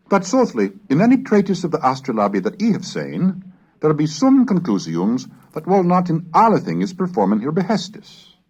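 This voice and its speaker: A man reading scripted scholarly material aloud in a deliberate, declamatory manner, like a lecturer on a recorded course. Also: lowish voice, husky. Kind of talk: scripted narration and dramatic reading of Middle English texts. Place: recorded narration on cassette. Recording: dull, lo-fi 1970s cassette recording.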